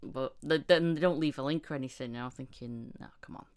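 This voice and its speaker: Really low voiced woman doing a chatty casual podcast interview. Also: fairly quick. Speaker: podcast host, amateur voice actor.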